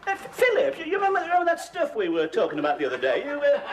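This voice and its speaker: An actor playing a miserly, seedy, self-regarding middle-aged landlord, with a fussy, stammering, wheedling manner, in a 1970s TV sitcom. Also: male voice, very high-pitched, huge pitch swings, rapid-fire, background noise, exaggerated voice. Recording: old TV audio with studio audience laughter.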